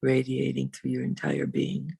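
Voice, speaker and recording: A woman reverend leading a guided meditation in a slow, soothing, unhurried way, with long pauses. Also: big pitch swings, warm tone, no room sound. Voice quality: low, husky, creaky.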